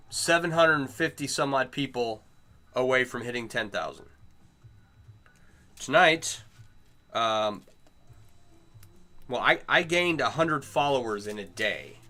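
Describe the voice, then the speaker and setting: Man, middle-aged, bright clear voice, casual livestream talk, greets viewers as he works. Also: mid-range voice. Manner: chatty, greets and answers viewers while working. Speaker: chainmail maker streaming live.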